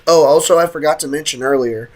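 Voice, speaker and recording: A woman's voice: low-pitched, fast talker, a little mellow. A woman doing casual, slangy live gameplay commentary, teasing and reactive, with a slightly sick throat. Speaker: game streamer.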